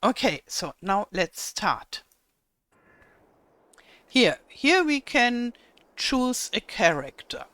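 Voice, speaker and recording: A woman's voice: medium pitch, big pitch swings, very slow, pauses often, a bit bright, no room sound. An older woman with a German accent, German being her first language, patiently guiding viewers step by step through a game tutorial with frequent small hesitations. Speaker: gaming tutorial host. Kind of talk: casual game tutorial narration.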